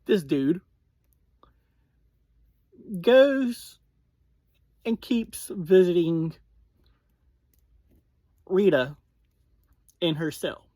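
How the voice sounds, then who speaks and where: A reviewer hosting a casual recap, talking in short, halting phrases. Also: mid-range voice, very animated, dark tone, no echo. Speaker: podcast reviewer and host.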